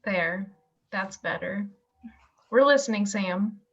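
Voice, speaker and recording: Radio drama: female actor as a devoted young small-town girl, sweet and unhurried, a little muffled on a video call. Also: mid-range voice. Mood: polite, coaxing. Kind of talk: amateur radio play acting.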